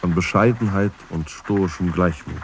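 An actor playing a learned, insistent commentator in a literary radio play, speaking deliberately and with pointed emphasis. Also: male voice, low voice, background noise, lightly acted. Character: learned, insistent male commentator dissecting a poet's self-portrait.

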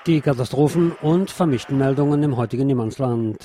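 A male radio presenter reading out press reports and commenting on them in a deliberate, emphatic way. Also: mid-range voice, noisy background. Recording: music behind the voice. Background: background music.

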